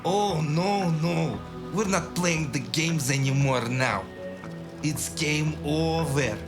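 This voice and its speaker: A man performs a desperate gunman for an audio drama. His voice sits a little high and moves very slowly, each word a deliberate threat. Music is prominent behind him. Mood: menacing. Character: desperate menacing gunman, a man with nothing to lose.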